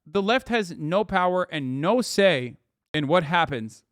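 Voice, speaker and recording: A man's voice: high voice, lively intonation, a little slow, fairly bright tone, dry recording, clean studio sound. Profane, sarcastic man ranting on stream. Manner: profane, sarcastic, heated rants. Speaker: streamer host. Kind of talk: live stream reaction commentary.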